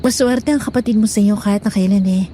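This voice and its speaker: A woman playing a gentle, guilt-ridden pregnant young mother in a horror audio drama, speaking in a halting, apologetic way. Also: mid-range voice, slight background noise, natural voice. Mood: soft, teasing.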